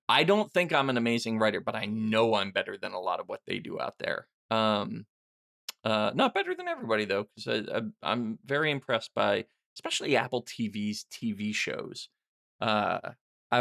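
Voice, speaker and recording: A man's voice: fairly low pitch, creaky, fairly bright tone. A middle-aged man hosting a casual, unscripted question-and-answer podcast, rambling and self-deprecating as he thinks aloud. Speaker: podcast host and writer answering listener questions.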